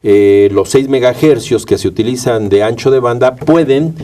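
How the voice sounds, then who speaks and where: A man with a Mexican Spanish accent answering conversationally in a studio interview, halting and repeating words as he goes, picked up a little away from the microphone. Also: mid-range voice, dark tone, recorded from a distance. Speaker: interview guest, media rights advocate.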